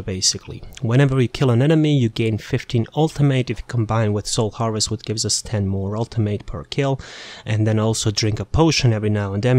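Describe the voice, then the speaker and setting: A man's voice: on the low side, no room sound. A man giving casual, unscripted video game guide commentary, rambling and thinking aloud as he goes.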